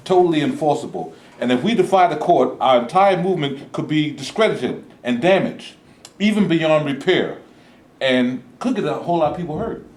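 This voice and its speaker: A man's voice: on the high side, expressive, normal speed, a little mellow, natural voice. A man playing a cautious, principled protest movement leader in a staged reading, speaking formally and earnestly. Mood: earnest caution. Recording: echoey room, live staged reading.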